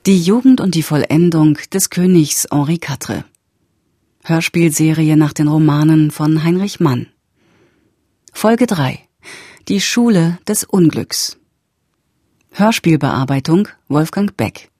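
A woman's voice: low voice, takes it slowly, long pauses, mellow, dry recording, clean studio sound. A woman announcer reading scripted radio credits in a formal, orderly manner. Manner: formal, evenly paced list reading.

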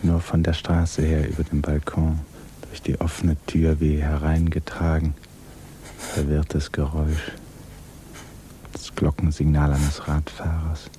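A man narrating a 1950s radio drama in a hushed, measured, grave and restrained manner. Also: rumbling low, completely flat delivery. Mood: measured, solemn. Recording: noisy 1950s radio recording.

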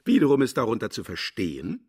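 A man's voice: on the low side, huge pitch swings, fast, a little mellow, slightly rough, heavily acted. A man playing a vain, contemptuous philosopher in a radio play, declaiming and lecturing grandly. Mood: grandiose. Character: pompous, cynical male philosopher, vain and contemptuous. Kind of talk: German radio play acting.

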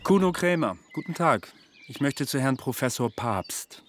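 An actor playing a self-important, impatient city visitor in a radio play, formal and somewhat dismissive. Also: male voice, mid-range voice, animated, moderate pace, slight background noise, close to an everyday voice. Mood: polite, impatient. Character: brisk, self-important male visitor from Berlin.

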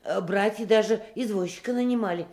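A woman's voice: medium pitch, fairly quick, a little mellow, lightly acted. An actress playing a simple, talkative woman witness in a Soviet radio drama, colloquial, hesitant and sympathetic. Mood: pitying.

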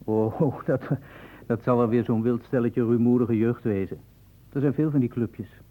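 Radio play man acting a chatty, dismissive medical assistant; mid-pitched, thin phone-like sound. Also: steady tone, moderate pace, close to an everyday voice. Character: casual, dismissive male medical assistant reporting to superior. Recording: tinny, phone-like narrow sound.

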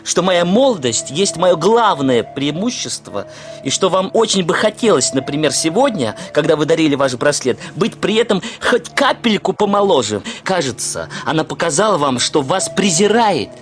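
An actor playing a brash, self-assured young suitor in a Russian radio drama, arguing in a formal, pedantic, insolent manner. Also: male voice, fairly high pitch, big pitch swings, normal speed, soft background music. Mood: taunting. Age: young adult.

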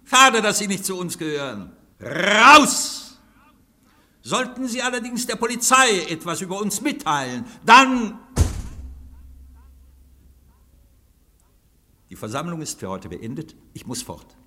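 A man's voice: high voice, big pitch swings, measured pace, bright, forceful tone, exaggerated voice. An actor playing a commanding, sardonic president of anarchists in a radio play, theatrical and biting in his delivery. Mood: mocking, amused. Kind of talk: German radio play acting. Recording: long hall-like reverb.

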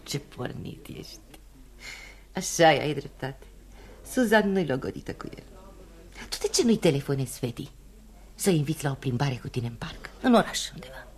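A worldly, independent woman in a radio drama. She speaks low with very varied intonation, assertive, knowing and a little teasing. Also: expressive, pauses often. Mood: prodding, advising. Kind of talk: radio theatre acting.

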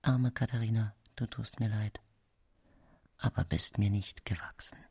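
An actress playing a guilt-haunted murderous widow in a radio drama, confessing in a breathy near-whisper. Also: female voice, rumbling low, a little slow, dark tone, no room sound, full character voice. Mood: cold, quiet. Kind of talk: intimate radio drama monologue.

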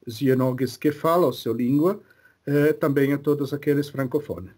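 A man speaking as a spirit guide in a religious session, slow and hesitant, often pausing to search for his words. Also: medium pitch, a little mellow, breathy edge. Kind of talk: slow halting spiritual talk.

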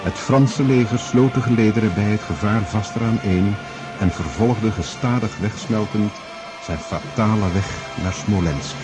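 Low male radio drama narrator over music. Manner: measured, formal.

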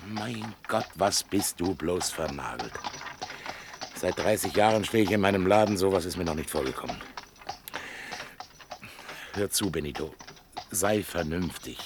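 Radio play role: impatient older male shopkeeper. Lowish, very lively, blunt haggler, slightly noisy recording. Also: measured pace, long pauses. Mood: exasperated. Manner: blunt, exasperated, bargaining. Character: impatient, pragmatic older shopkeeper Don Victor. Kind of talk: German radio play acting.

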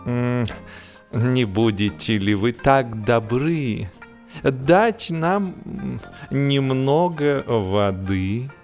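A man voicing a polite but slow-witted gentleman in an audiobook, halting and mumbling with excessive courtesy. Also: medium pitch, soft background music. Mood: ingratiatingly polite.

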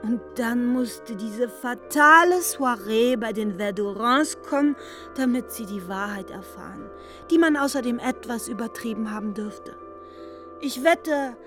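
An actress playing an evasive, wounded young woman in a literary radio drama, halting and confiding, at times dropping toward a whisper. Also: on the high side, a bit bright.

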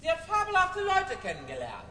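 An actor playing a drugged, decadent villain in a radio drama, flippant and slippery, with a theatrical, mocking manner. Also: male voice, very high voice, huge pitch swings, a little fast, exaggerated voice. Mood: mocking, blasé. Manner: theatrical, mocking, wildly swooping. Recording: reverberant hall, faint noise.

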